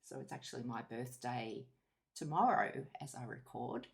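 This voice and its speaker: A woman in her fifties with an Australian accent, giving a candid, reflective personal monologue in measured short phrases. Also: rumbling low, animated, vocal fry. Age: 50s. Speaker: podcast host.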